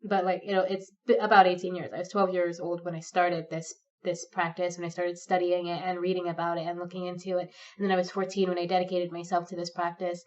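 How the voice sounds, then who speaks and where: A woman's voice: lowish voice, level tone, fast, few pauses, darkish tone. A woman in her thirties with a cold, talking off the cuff in a rambling, hesitant way full of ums and likes, a little stuffed up and sniffly. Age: 30s. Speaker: pagan vlogger. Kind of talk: unscripted rambling monologue. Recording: distant, dull lo-fi sound.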